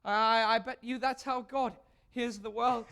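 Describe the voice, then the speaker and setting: A woman playing an excitable young male composer on stage, stammering and giggly in theatrical bursts. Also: fairly high pitch, unhurried, gravelly, smooth. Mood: rapt, building.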